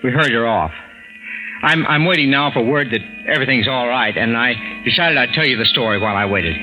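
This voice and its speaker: An actor playing a stunned, excitable everyman husband in a 1940s radio drama, stammering with bewilderment. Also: medium pitch, fairly quick, muffled recording, over loud music, close to an everyday voice. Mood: confiding. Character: excitable, stunned everyman husband telling his incredible story. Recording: noisy vintage radio recording.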